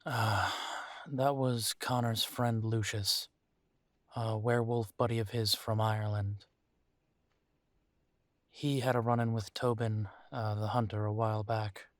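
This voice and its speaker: A low-pitched, protective werewolf leader, acted by a man for an intimate roleplay audio drama. He is earnest and weary but determined, speaking deliberately with pauses. His intonation stays quite level, and the sound is dry and close. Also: flat delivery, no echo, lightly acted. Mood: weary, uneasy.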